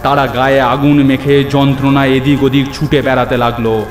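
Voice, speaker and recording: A man's voice: medium pitch, level tone, moderate pace. A man narrating a scripted horror cartoon story in a dramatic storytelling style. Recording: echoey, clear background music. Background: music.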